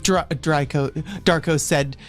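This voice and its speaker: A woman's voice: sits low, unhurried, faint music behind. A woman co-hosting a livestream, giving a mock-dramatic reading of fan fiction, theatrical and stumbling over words, with asides thrown in. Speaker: livestream co-host.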